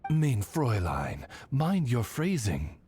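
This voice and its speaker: A polite raven butler in a game, unhurried, swooping intonation, dry and formal. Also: male voice, mid-range voice, wildly swooping pitch. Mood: courteous. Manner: formal, polite, gently sardonic. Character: courtly male raven familiar, patient dry-witted butler. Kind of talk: anime-style game voice acting. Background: music.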